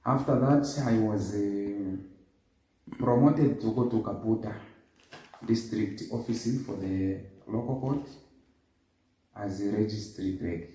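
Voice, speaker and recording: A man in his fifties giving a formal interview, recounting his background in a measured way. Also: on the low side, mellow. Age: 50s. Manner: measured, formal, lists dates and places. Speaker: interview guest. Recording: echoey, distant room sound.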